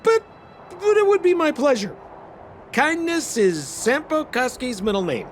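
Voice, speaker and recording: A man's voice: very high voice, very animated, unhurried, slight background noise, full character voice. An actor playing a slippery young con man in a video game, with a theatrical, smarmy, wheedling delivery. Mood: smug, ingratiating.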